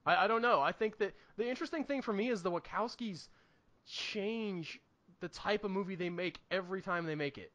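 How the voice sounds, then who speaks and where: A man's voice: sits high, fairly quick, fairly bright tone. A man co-hosting a podcast, chatting casually about movies in a rambling, earnest way as he thinks aloud. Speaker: podcast co-host. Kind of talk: casual movie review chat.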